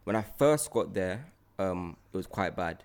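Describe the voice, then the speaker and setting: Young man, low-pitched voice, casual podcast chat, rambling slangy storytelling. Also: animated, unhurried, a little mellow, clean tone. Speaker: podcast co-host.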